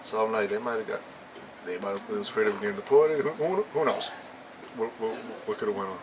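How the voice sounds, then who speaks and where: Young man, low-ish voice, loose slangy storyteller, hiss behind him. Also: fast. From Puerto Rican and Ecuadorian family. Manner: slangy, rambling, many fillers. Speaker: co-host. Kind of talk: casual chat, storytelling.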